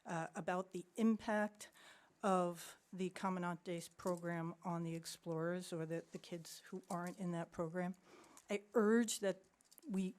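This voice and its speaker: A woman giving an unscripted public comment at a school board meeting, earnest and pleading, with halting restarts. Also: lowish voice.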